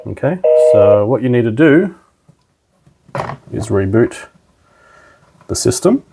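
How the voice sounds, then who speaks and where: A man's voice: medium pitch, animated, takes it slowly, creaky, heavy background noise. A man presenting a hands-on product tutorial, talking informally and instructing step by step as a trainer. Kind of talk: casual hands-on tutorial narration.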